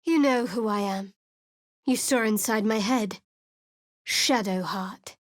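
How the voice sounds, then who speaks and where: A woman's voice: medium pitch, takes it slowly, no room sound, close to an everyday voice. An actress playing a guarded young woman cleric in a video game, speaking in a dry, cutting, sardonic way. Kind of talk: video game character dialogue. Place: studio voice booth.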